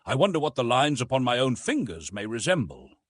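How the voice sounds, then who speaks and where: Man reading as a keen, polite gentleman companion. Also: medium pitch, very animated, a little fast, no echo, studio quality.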